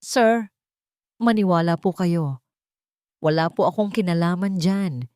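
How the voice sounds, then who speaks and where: A woman's voice: lowish voice, unhurried, darkish tone, clear, resonant tone, no echo, studio quality, natural voice. A woman voicing a timid, polite young waitress, deferential and hesitant, in an audio romance story. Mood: tearful pleading.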